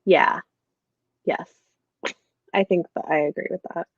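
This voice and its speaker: A woman's voice: fairly low pitch, fairly even intonation, measured pace, no room sound. Woman chatting casually, dry lo-fi sound. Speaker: book discussion livestream host. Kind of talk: casual chat.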